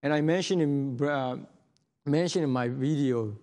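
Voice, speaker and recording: A man's voice: mid-range voice, a little mellow. Earnest man preaching in a hall. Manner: earnest, halting, many restarts and fillers. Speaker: missionary speaker. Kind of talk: sermon testimony. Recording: long hall reverb.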